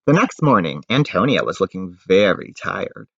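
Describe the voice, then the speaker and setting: A man reading a storybook aloud to children in an expressive narrating style. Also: deep voice, normal speed, creaky, dry recording, dull audio. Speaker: storybook reader.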